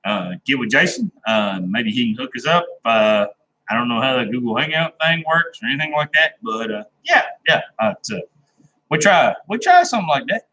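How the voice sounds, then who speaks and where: A man's voice: medium pitch, big pitch swings, moderate pace, pushed and bright, a little hiss or hum, low-quality recording. A man chatting casually with viewers on his live stream, rambling and laid-back, with a folksy, plain-spoken way of talking. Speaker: livestream host chatting with viewers.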